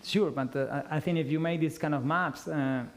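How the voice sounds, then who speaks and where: A man in his thirties answering audience questions at a talk, speaking haltingly with many restarts into a headset mic in a lecture room. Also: a little high, steady tone, background noise. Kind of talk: conference Q&A.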